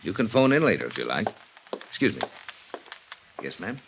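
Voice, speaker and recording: An actor playing a polite but firm hospital desk attendant, measured and officious, in a 1950s radio drama. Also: male voice, on the low side, sing-song, background noise. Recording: muffled 1950s radio recording.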